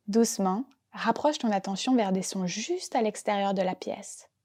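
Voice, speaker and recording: A woman gently and calmly guiding a relaxation meditation as a yoga nidra teacher, unhurried and soothing in her delivery. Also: mid-range voice. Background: soft background music.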